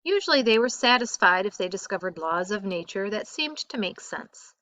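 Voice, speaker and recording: A woman teacher reading a school textbook aloud in a deliberate, emphatic way. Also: medium pitch, expressive, sharp tone, dry recording.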